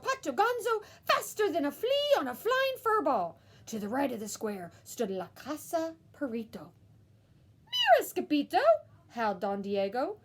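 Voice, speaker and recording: A woman teacher reads a children's story aloud with great theatricality. Her voice is high and swings widely in pitch, at times dropping into hushed asides. There is faint noise behind her. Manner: theatrical, dropping into whispered asides to young listeners. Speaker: teacher reading aloud to pupils. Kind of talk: animated picture-book read-aloud.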